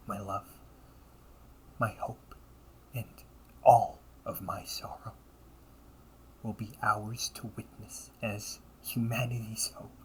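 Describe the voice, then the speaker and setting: A man playing a villainous older brother, tender, remorseful and obsessively resolved, in a hushed, deliberate audio roleplay monologue. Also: fairly low pitch, slight background noise, poor audio. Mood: solemn, menacing. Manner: slow, hushed, often whispering, deliberate pauses.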